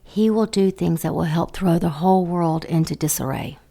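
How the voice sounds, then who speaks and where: A sultry, teasing dark angel. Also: female voice, on the low side, normal speed, vocal fry. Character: seductive female dark angel, sly and flirtatious. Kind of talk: audio drama acting.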